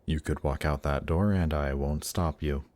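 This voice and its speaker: A man playing a suave demon prince in an intimate audio roleplay, speaking in a slow, seductive, gently coaxing way. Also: very deep voice, steady tone, no room sound. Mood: confiding, persuasive. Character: suave demon prince of Hell, tempting and reassuring. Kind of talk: intimate audio roleplay, scripted monologue to listener.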